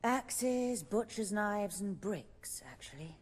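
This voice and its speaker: An actress playing a poised, shrewd theatre troupe leader in a video game, speaking in a measured, formal way. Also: female voice, mid-range voice, animated, slightly rough, big-room sound. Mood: wry. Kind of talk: video game dialogue acting.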